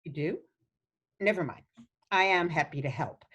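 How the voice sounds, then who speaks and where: A woman's voice: deep voice, moderate pace, a bit bright, slightly rough. A woman playing a sweet, chatty, meddling elderly mother in a radio play, coaxing and cheerfully oblivious. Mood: breezily meddling. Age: older.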